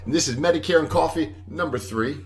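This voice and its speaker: Loud rambling man, roomy sound. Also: a little high, fast, recorded from a distance, soft background music. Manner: rambling, self-correcting, chuckles often. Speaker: host. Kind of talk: casual livestream chat. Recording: echoey room, voice from afar.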